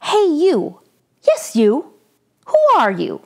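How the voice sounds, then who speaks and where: A woman voicing angry, suspicious ants with loud, accusing cries in a children's storybook. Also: a little high, very animated, slow pace, creaky, soft background music, full character voice. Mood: challenging. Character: angry, suspicious ants defending their eggs.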